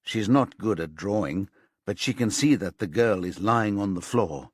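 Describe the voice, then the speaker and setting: A man reads a crime thriller audiobook in a low, husky voice. His delivery is measured and suspenseful, and the recording is dry and close. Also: expressive, no echo. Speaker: audiobook narrator. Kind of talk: scripted thriller audiobook narration.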